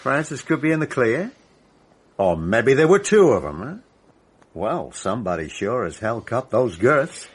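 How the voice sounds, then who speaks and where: An actor playing a brash, anxious male movie-maker in a radio drama, talking bluntly and excitably. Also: mid-range voice, unhurried, slightly rough. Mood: uneasy. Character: brash, anxious male film producer-director.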